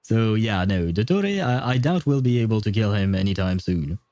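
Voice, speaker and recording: A man's voice: fairly low pitch, expressive, moderate pace, darkish tone, no echo, clean studio sound. A man livestreaming a video game, chatting casually and rambling in an informal way. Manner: informal, rambling, mild swearing. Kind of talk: casual livestream chat and commentary. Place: indoor streaming setup, dry. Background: faint game music.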